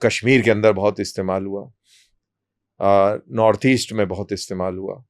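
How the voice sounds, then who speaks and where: A man speaking as an interview guest, explaining in measured, deliberate short phrases with pauses. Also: fairly low pitch, steady tone, no room sound, studio quality. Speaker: army colonel, interview guest.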